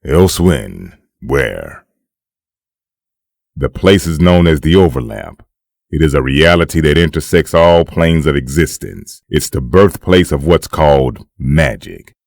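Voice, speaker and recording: A man performing as a grave comic-book narrator with a gravelly voice, reading scripted dramatic scene-setting. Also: extremely low pitch, lively intonation, measured pace, no echo, studio quality, heavily acted.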